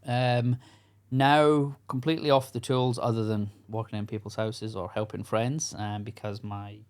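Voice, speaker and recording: A man's voice: on the low side, level tone, moderate pace, smooth. Scottish man, earnest and frank. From Scotland. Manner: earnest, frank, many fillers and restarts. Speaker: podcast guest, coach to construction workers. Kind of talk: casual interview chat.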